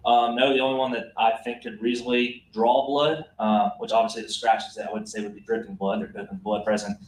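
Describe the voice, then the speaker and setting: A man's voice: lowish voice, little pitch movement, fast, slight background noise, low-quality recording. A man, a police officer, testifying in a courtroom, hesitant with many ums and restarts.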